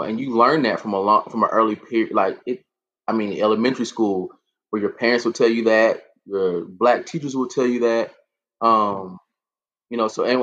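A man's voice: medium pitch, fairly bright tone. Reflective man on a dull recording. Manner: thoughtful, reflective, lots of fillers. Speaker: panelist. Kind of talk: casual discussion.

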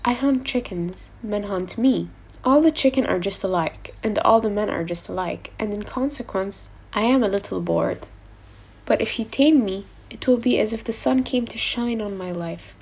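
A woman voicing a gentle, wistful male fox from a storybook, speaking in an earnest, measured way. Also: medium pitch, gravelly, slightly rough. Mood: wistful, longing. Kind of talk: storybook character voice.

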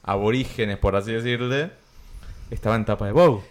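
A man's voice: on the low side, a little fast. A man with an Argentine accent co-hosting a casual group chat, chatty and often jumping in over the others. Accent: Argentine Spanish. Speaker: podcast co-host.

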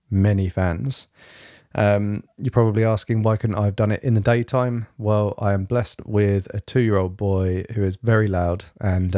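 Low, dark-voiced man chatting. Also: no room sound, studio quality. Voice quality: fairly monotone. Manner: rambling, many fillers and restarts. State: slightly rough throat. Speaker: podcast host. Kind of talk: casual solo podcast chat. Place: home, evening, quiet room.

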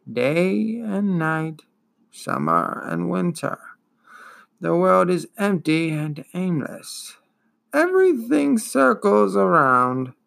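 Man doing an ancient female swamp turtle, wheezing, gurgling, slow drawn-out indifferent speech, gravelly. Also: sits high, fairly bright tone, pitched above the performer's own voice, exaggerated voice.

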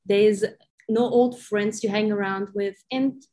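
A woman from Ukraine, a coaching guest on a podcast, talking warmly and encouragingly with slightly phrasing. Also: mid-range voice, fairly even intonation, a little slow. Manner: warm, encouraging, slightly non-native phrasing. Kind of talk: conversational interview.

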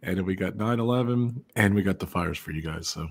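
A man's voice: sits low, brisk. A man hosting a casual live stream, upbeat, greeting guests and thanking his viewers. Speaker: host of a live stream hangout.

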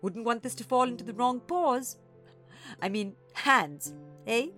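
A woman's voice: fairly high pitch, a little slow, sharp tone, faint music behind, pitched above the performer's own voice. A woman voicing a mischievous, gleeful old woman in a storybook, gasping and conspiratorial in manner. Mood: mischievous, fond. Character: mischievous, sputtering old woman, gleeful former schoolgirl prankster.

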